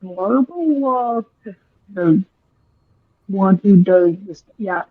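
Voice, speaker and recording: A woman with a husky voice giving casual, offhand let's play commentary in short bursts, recorded on a dull, lo-fi microphone. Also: medium pitch, animated, very slow, dry recording. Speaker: gaming streamer.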